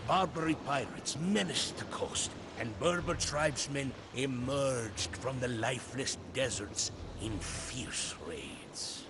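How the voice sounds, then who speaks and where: A man's voice: medium pitch, slow, hardly any pauses, background noise. An actor playing a loyal soldier who solemnly and theatrically recounts his captain's campaigns as the storybook narrator of a game. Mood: reflective, solemn. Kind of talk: dramatic storybook cutscene narration. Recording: studio narration under loud music.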